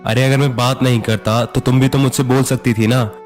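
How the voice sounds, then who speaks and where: A man plays a proud young husband in a storybook drama, quick to scold and snap at his wife. His voice sits at an ordinary middle pitch, with soft music running under it. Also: level tone, close to an everyday voice. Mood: concerned. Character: proud young husband, gruff then tender. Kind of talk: storybook voice acting.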